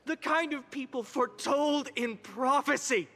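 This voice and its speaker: Earnest young game hero, high-pitched for a male, slow rhetorical delivery, big hall reverb. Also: bright, forceful tone, a little hiss or hum, heavily acted. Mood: rousing, resolute. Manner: solemn, measured, rhetorical. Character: earnest young heroic leader addressing his city. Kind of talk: solemn public oration in a fantasy game. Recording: long hall reverb with music behind. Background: music.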